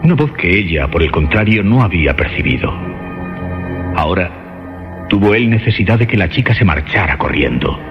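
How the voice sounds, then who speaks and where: A man with a very low voice narrates horror in suspenseful, measured phrases. His pitch moves expressively over background music, and the recording is rather muffled. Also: animated. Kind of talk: dramatic scripted horror narration. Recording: muffled lo-fi radio drama recording.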